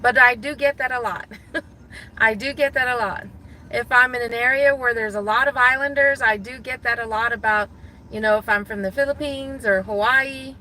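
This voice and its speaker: A woman from Guam chatting casually to viewers from her car, thinking out loud and laughing easily. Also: fairly high pitch, fairly even intonation, normal speed, slight background noise, low-quality recording. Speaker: gig delivery driver vlogger. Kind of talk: casual talking to viewers while driving. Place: inside a parked car.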